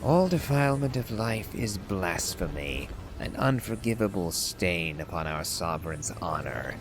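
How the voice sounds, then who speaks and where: A man's voice: sits low, big pitch swings, unhurried, noisy background, exaggerated voice. A man voicing a proud, stern ancient dragon from a game, in formal, declamatory phrases. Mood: solemn, resolute. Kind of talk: dramatic reading of game lore.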